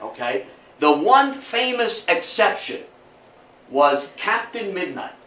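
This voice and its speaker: Higher-voiced older man lecturing in an echoey room. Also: takes it slowly. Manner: animated storytelling, repeats phrases for emphasis, checks in with "okay". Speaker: lecturer at a historical society talk. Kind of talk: informal lecture to an audience. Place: indoor talk room with audience. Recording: echoey room, distant mic, background noise.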